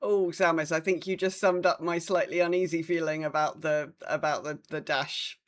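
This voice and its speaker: A woman's voice: deep voice, fairly even intonation, normal speed. A woman with a British accent talking casually as co-host of a gaming podcast.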